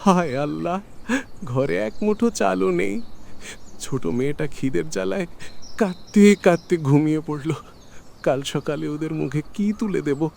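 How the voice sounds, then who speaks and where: A man's voice: on the high side, measured pace, gravelly, dark tone, a little hiss or hum, pitched above the performer's own voice. A man voicing a poor, pious farmer and father in a cartoon fairy tale, emotional and pleading. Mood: despairing.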